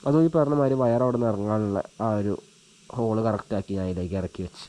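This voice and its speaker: A man's voice: fairly low pitch, fast, dark tone, slight background noise, rough recording. A man chatting casually as he gives a hands-on tutorial, thinking aloud informally while he works. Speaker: vlogger giving a hands-on tutorial. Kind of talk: casual chat while demonstrating an installation. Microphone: action camera mounted on a helmet he wears.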